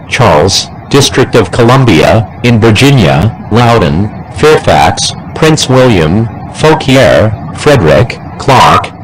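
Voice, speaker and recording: A man acts as a formal weather service announcer reading a scripted alert. The voice is fairly low with lively swings in pitch. The amateur recording has clear background noise. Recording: noisy amateur recording, vehicle-like hum.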